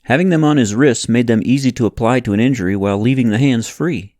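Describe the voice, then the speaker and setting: A man's voice: medium pitch, quick pace, a little mellow, dry recording. A man reading a novel aloud as a scripted audiobook narrator.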